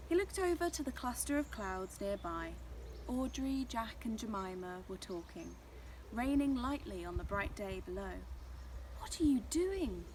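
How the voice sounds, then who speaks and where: A woman's voice: a little high, a little mellow, a little hiss or hum. A woman narrating a scripted children's story as a storyteller.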